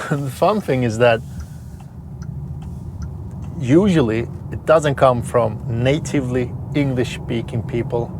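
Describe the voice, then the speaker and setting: Swedish man, non-native English, mid-pitched, casual car reviewer, audible road noise. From Sweden. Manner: plain, explanatory, slightly halting with repeated words. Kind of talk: casual vlog narration while driving.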